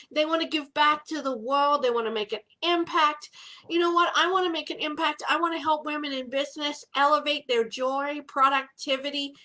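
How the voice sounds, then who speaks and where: A woman's voice: sits high, bright, forceful tone. A middle-aged woman hosting a casual live business chat from home, bubbly and greeting viewers by name.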